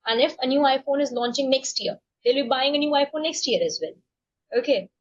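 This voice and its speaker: A woman's voice: sits high, a little fast. A woman hosting a casual conversation, talking fluently and persuasively. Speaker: host. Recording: dull lo-fi sound.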